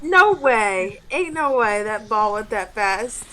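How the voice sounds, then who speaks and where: A woman's voice: mid-range voice, expressive, takes it slowly, pushed and bright, rough recording. A woman co-hosting a movie reaction, chatting casually with exclamations of surprise.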